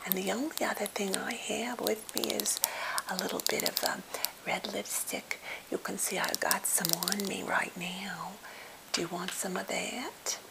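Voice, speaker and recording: A woman playing a timid, slightly odd captive who is eager to please, speaking in a halting, gentle, polite whisper for an ASMR role play. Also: medium pitch, fairly even intonation, very noisy. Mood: soothing, fussy. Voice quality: breathy whisper with fry.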